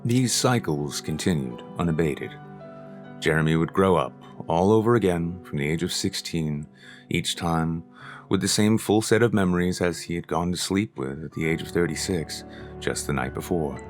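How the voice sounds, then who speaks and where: A man reading his own short story aloud as scripted audiobook narration, in a measured, deliberate storytelling manner. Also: low-pitched, faint music behind.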